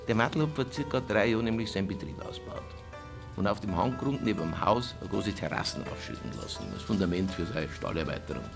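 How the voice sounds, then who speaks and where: An earnest Bavarian water official in a drama. His voice is on the low side and moves with lively intonation as he explains matter-of-factly. Music plays clearly behind him. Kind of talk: naturalistic drama dialogue, explaining findings.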